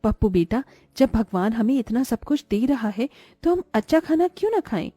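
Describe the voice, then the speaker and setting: A woman's voice: on the high side, very animated, moderate pace, dark tone, breathy edge, no room sound, faint music behind. A woman voicing a greedy, crafty mother who sells street food, with a persuasive manner, in a cartoon story. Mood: smug. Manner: self-justifying, persuasive.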